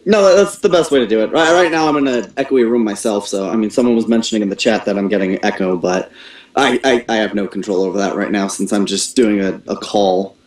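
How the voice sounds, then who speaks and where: A man casually explaining audio matters on a group call, halting and repeating words as he goes. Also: on the low side. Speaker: audio technician advising a voice cast. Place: echoey room, on a voice call. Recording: echoey room over internet call.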